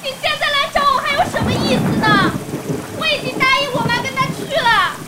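An actress playing a wounded, resigned young daughter torn by love in an audio drama, plaintive and emotional. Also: female voice, very high-pitched, fairly quick, very noisy. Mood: hurt, accusing. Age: young adult. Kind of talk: Mandarin audio drama acting.

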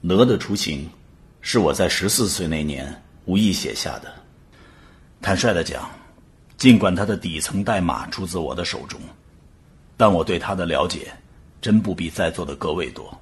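A man playing a grave, uneasy scientist giving a measured, halting formal speech in a radio drama. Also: sits low, expressive, husky, exaggerated voice. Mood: solemn unease. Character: grave, uneasy male scientist professor addressing a council.

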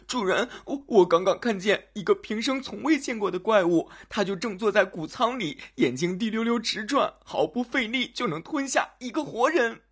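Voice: medium pitch, animated, moderate pace, hardly any pauses, husky, voice pushed up. A storyteller voicing a terrified servant in a fairy tale, trembling with alarm. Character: terrified servant reporting a monster.

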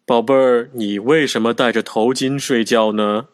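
A man playing a fond, puzzled king and father in a children's audio drama, speaking in a doting, expressive way. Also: mid-range voice, normal speed, no echo, studio quality, natural voice. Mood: puzzled, tender.

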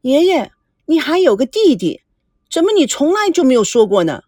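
A woman voicing a lively, outspoken young granddaughter who exclaims loudly in an audiobook. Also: lowish voice, normal speed, husky, dry recording, clean studio sound, voice pushed down, exaggerated voice. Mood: astonished. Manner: loud, exclaiming.

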